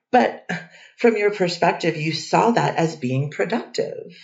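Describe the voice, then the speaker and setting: A woman's voice: lowish voice, expressive, takes it slowly, creaky, darkish tone, lo-fi sound. A woman attorney questioning a witness in a courtroom, hesitant and hedging, with many restarts. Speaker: defense attorney. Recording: distant echoey courtroom audio.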